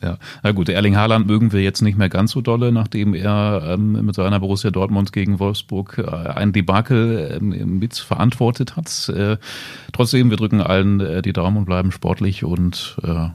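A man co-hosting a podcast in casual chat, relaxed and using many fillers. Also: fairly low pitch, moderate pace. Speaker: podcast co-host.

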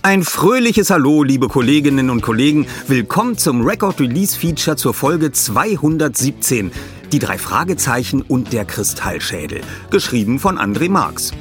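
A man's voice: mid-range voice, very animated, moderate pace, faint music behind. A man presenting scripted podcast segments and ad reads in an upbeat style. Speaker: podcast host and ad reader.

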